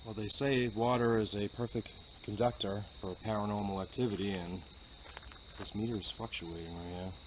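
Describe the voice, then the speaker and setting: A man hosting a paranormal investigation, musing about ghosts and calling out loudly to spirits on a wooded road at night. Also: fairly even intonation, moderate pace. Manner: calls out loudly to spirits, otherwise low and musing. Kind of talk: casual narration while ghost hunting. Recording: night outdoor noise, crickets.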